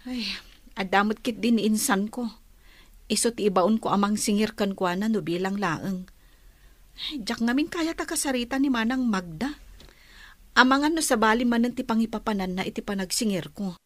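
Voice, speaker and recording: An actress playing a practical, sensible wife in a radio drama, calm and gently reasoning. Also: female voice, medium pitch, moderate pace, dry recording, close to an everyday voice. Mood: worried.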